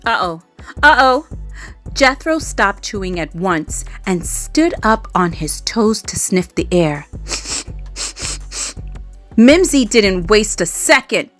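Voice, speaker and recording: Animated, slow-paced woman reading a children's story. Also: medium pitch, soft background music. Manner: animated, dramatic emphasis with drawn-out words. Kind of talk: expressive read-aloud narration for young children.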